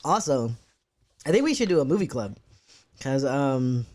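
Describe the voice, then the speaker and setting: A man's voice: very animated, moderate pace, darkish tone. Casual, profane young man streaming live. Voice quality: medium-pitched voice, neither deep nor high. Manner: rambling, loose and profane, talking to chat.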